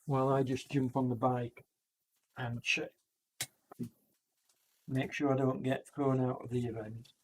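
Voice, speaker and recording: A man with a chest cold giving loose, rambling live commentary on a virtual cycling event as its host, often pausing and correcting himself. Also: medium pitch, little pitch movement, slight background noise. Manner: rambling, self-correcting, many fillers. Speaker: e-racing commentator and streamer.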